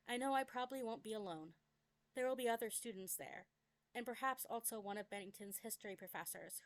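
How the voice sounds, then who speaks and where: A young adult woman reads her own memoir aloud as a scripted dramatic narration, with a deliberate, expressive delivery. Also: mid-range voice. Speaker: memoir author reading own work.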